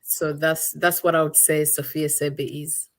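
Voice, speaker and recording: Interview guest: low-pitched woman from Uganda, middle-aged, reflective and word-searching. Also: little pitch movement, moderate pace. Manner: thoughtful, searching for words with many restarts.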